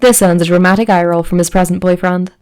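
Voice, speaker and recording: A woman's voice: on the low side, steady tone, fast talker, darkish tone. A woman reading fan fiction aloud as a scripted story narration. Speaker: fan fiction podfic reader.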